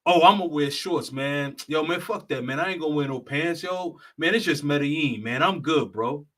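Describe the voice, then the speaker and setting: A man's voice: fairly high pitch, moderate pace, a bit bright, voice pushed down. A man mimicking a cocky, careless male tourist, bragging in a lazy, slangy drawl. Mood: mocking.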